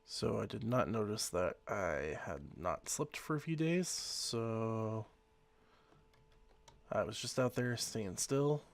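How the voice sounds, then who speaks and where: A man with a slightly hoarse voice from being sick, casually talking through his gameplay in a rambling, easygoing way. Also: fairly low pitch, animated, takes it slowly. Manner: rambling, easygoing, self-correcting. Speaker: let's play host.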